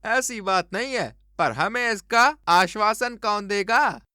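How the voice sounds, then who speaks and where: A man's voice: sits high, animated, slow pace, pushed and bright, no room sound, studio quality, voice pushed up, heavily acted. A man voicing a poor, suspicious village man who questions in a plaintive, challenging way in a story cartoon.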